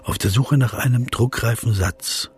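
An actor playing an observant, reflective philosopher narrating a radio play in a measured, introspective way. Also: male voice, medium pitch, soft background music, close to an everyday voice. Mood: watchful.

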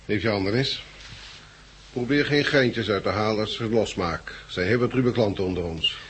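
An actor in a 1960s radio drama playing a terse, authoritative leader of a rough gang. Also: male voice, fairly low pitch, moderate pace, natural voice. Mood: stern, threatening. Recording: noisy old 1960s radio recording.

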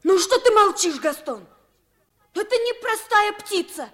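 An actress playing an earnest, excitable and naive young boy in a 1950s radio drama. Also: extremely high pitch, a little slow, heavily acted. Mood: indignant, eager.

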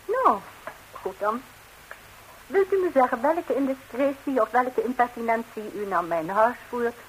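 A woman's voice: on the high side, lively intonation, measured pace, low-quality recording. An actress playing a composed, unafraid lady of the house in a radio comedy, polite and drily sardonic. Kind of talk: 1960s radio comedy acting in Dutch. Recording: hissy 1960s radio recording.

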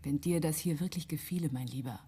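Casting: sardonic smuggler's wife in radio drama; lowish, very husky and breathy. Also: female voice, quick pace, dark tone. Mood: romantic, reproachful. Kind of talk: German radio play acting and narration.

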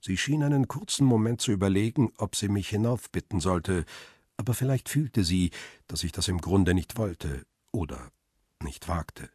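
A middle-aged crime-drama narrator with a very low, heavily husky voice. He is reflective and restrained, telling his own story as if thinking it over. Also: expressive, normal speed, a little mellow, no echo, clean studio sound. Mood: pensive. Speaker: narrator and lead character. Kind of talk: radio drama narration and dialogue.

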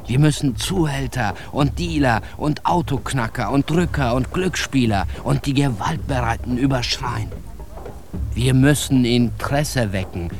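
A man's voice: mid-range voice, a little mellow, natural voice. Zealous, tough-talking street preacher played by a man. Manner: emphatic, preaching, coarse-mouthed. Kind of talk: radio drama spoken-word monologue. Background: music.

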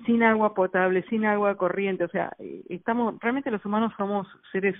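A woman's voice: medium pitch, fairly even intonation, normal speed, no room sound. Middle-aged woman, musing, opinionated talker, dry lo-fi phone quality. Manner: reflective, opinionated, uses filler "o sea". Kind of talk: casual radio conversation. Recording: dry phone-line sound.

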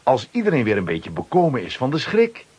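A man's voice: medium pitch, huge pitch swings, brisk, darkish tone, husky. A man narrating a children's radio play from a script, dramatically.

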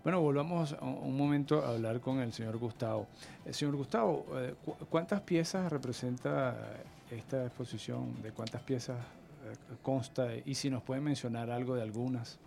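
A man hosts a radio interview with a gravelly, creaky edge to his voice. He asks gentle questions and fills the gaps with short affirmations. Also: mid-range voice, normal speed, slight background noise. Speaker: radio host.

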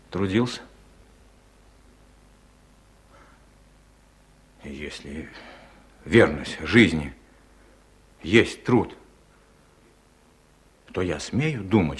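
Slow, husky, weary older farm chairman. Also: deep voice, full character voice. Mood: pensive, subdued. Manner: halting, pensive, solemn. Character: weary, honest collective-farm chairman reflecting on life. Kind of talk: theatrical radio play monologue.

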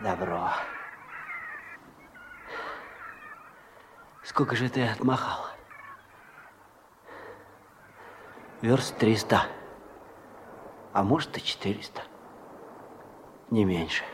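A man's voice: lowish voice, unhurried, long pauses, a little hiss or hum, lightly acted. An actor playing an easygoing, slightly bemused wandering workman in a radio drama, speaking colloquially and musingly. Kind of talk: Soviet radio drama acting.

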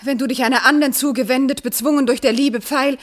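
Eine Schauspielerin spielt in einem klassischen Vershörspiel eine gekränkte, würdevolle und entschlossene Fürstin, die förmlich deklamiert. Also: female voice, high-pitched, steady tone, quick pace, lightly acted. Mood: wounded, resolute. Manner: declamatory, formal, haughty. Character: noble princess, offended, dignified and resolute wife. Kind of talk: classical German verse drama radio acting.

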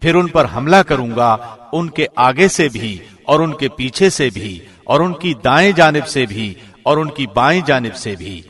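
A man reading a scripture translation from a script in a measured, formal, carefully phrased way, like a professional radio presenter. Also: medium pitch, expressive, fairly bright tone, slightly rough.

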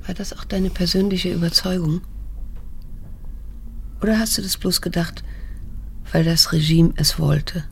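An actress playing a bitter, weary middle-aged daughter in a radio drama, restrained and measured in dialogue and inner monologue. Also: female voice, on the low side, fairly even intonation, creaky, a little hiss or hum. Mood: probing. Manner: restrained, measured, cool, introspective. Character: bitter, weary grown daughter, probing and self-controlled.

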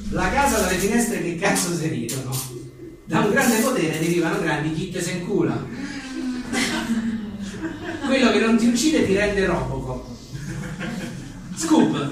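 A man reads comic one-liners aloud at a live book presentation, in a wry, joking way with offhand asides. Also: high voice. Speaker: journalist and author presenting his book. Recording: echoey room, distant mic, faint noise.

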